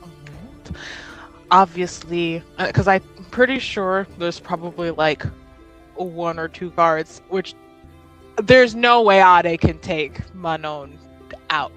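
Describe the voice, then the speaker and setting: A woman's voice: fairly low pitch, takes it slowly, fairly bright tone, soft background music, rough recording. A woman playing a tabletop roleplaying game, talking casually and playfully as she describes what her character does.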